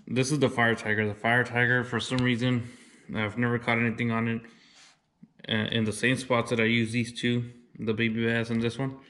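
A man in his thirties talking through his fishing gear in an easy, plainspoken way, saying "y'all" now and then. His pitch stays quite even and level. A faint hiss sits under the camera-mic recording. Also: normal speed. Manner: relaxed, plainspoken, says "y'all". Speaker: fishing vlogger. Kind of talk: casual show-and-tell. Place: indoors, then a wooden deck.

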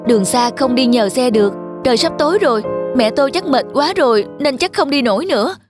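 A high, youthful cartoon boy from a village, dutiful and polite. He speaks earnestly with a worried edge, over prominent music. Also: expressive, brisk. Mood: pleading, grateful. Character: dutiful, worried young village boy caring for his mother. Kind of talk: cartoon dubbing.

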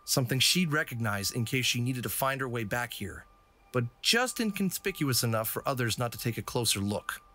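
A man playing a quick-witted, confident fox adventurer, casual and wisecracking, in an audio drama. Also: mid-range voice, lively intonation, bright, forceful tone. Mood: earnest. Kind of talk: animated audio drama acting.

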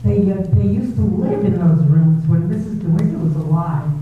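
A woman playing a cold, formal manor housekeeper in a staged radio drama, speaking with deliberate deference. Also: on the low side, narrow, tinny audio, close to an everyday voice. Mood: coolly formal. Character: cold, stiff, resentful housekeeper of a great manor. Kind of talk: readers-theater staging of a 1938 radio drama. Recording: roomy, thin, distant, faintly noisy stage recording.

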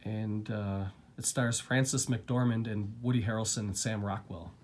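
Man, low voice, easygoing home vlogger with a cough, faint room hiss. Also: unhurried. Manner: relaxed, rambling, filler-heavy. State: getting over a cold, coughing. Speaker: collector vlogger. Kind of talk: casual unboxing chat.

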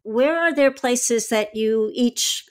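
A woman hosting an interview, asking prepared questions in a measured style. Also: fairly high pitch, clear, resonant tone. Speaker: host, interviewer.

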